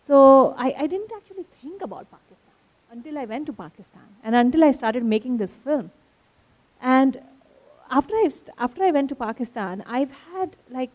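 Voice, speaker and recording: A woman's voice: mid-range voice, darkish tone, poor audio. A woman from India, a filmmaker telling personal stories conversationally as an interview guest. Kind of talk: conversational storytelling in a panel interview.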